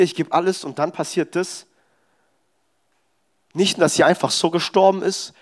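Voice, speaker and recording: A young man preaching a sermon in a church service, exhorting the congregation with emphatic, personal testimony. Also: fairly high pitch.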